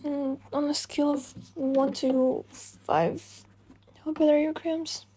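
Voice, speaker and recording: A woman doing intimate ASMR roleplay as a caring, affectionate girlfriend, speaking in a soft, breathy, soothing murmur that often slips into a whisper. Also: sits high, fairly even intonation, takes it slowly, low-quality recording. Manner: soothing, hushed, tender, often slipping into whispers. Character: caring, affectionate girlfriend comforting her partner.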